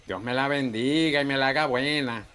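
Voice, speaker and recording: A man's voice: medium pitch, moderate pace, sharp tone, clean tone, natural voice. An actor playing a protective, affectionate Venezuelan father and bar owner, with a Venezuelan accent, in a comic radio soap. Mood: fatherly, instructing. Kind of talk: humorous radio soap opera acting.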